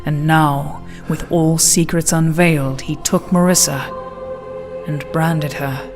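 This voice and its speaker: Fantasy story narrator, mid-range, formal and solemn, reading scripted lore over clear music. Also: mid-range voice, fairly even intonation, slow.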